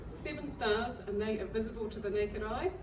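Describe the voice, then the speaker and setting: A woman's voice: a little high, normal speed. Woman speaking from afar in a roomy hall. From New Zealand. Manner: self-deprecating, chatty. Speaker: library director giving a talk. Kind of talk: public talk to an audience. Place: library event room. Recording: roomy, far from the mic, noisy.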